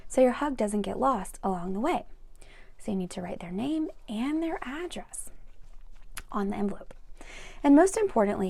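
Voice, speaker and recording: A woman with a slightly high voice reads a picture book aloud for young children. She is expressive and speaks to her listeners directly, adding chatty asides as she goes. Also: vocal fry. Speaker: read-aloud storyteller for children.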